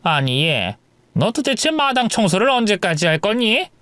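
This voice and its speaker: A man's voice: high-pitched, sing-song, moderate pace, pushed and bright, dry recording, pitched above the performer's own voice, full character voice. A man voicing a harsh, nagging older mother-in-law who scolds her pregnant daughter-in-law. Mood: scolding. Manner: nagging, contemptuous.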